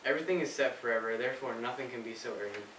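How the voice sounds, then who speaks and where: A man's voice: mid-range voice, very fast, a bit bright, close to an everyday voice. A man playing a pompous, self-absorbed French king in an amateur stage play, declaiming haughtily. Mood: haughty, dismissive. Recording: distant echoey amateur recording with hiss.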